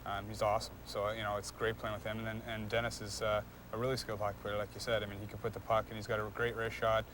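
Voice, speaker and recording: A young man, a hockey player, giving casual, halting interview answers with repeated words and frequent filler phrases. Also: fairly low pitch, monotone, noisy background. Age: young adult.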